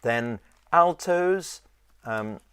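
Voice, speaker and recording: A man with a low voice teaching a choir in an informal, rambling and halting conversational way. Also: lively intonation, a bit bright, clear, resonant tone. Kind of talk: informal instructional talk with sung demonstrations.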